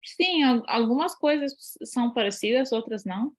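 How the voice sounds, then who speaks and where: A woman's voice: fairly high pitch, expressive, moderate pace. A woman talking casually in a second language, hesitating often with fillers and restarts. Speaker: guest polyglot. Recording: slightly dull lo-fi audio.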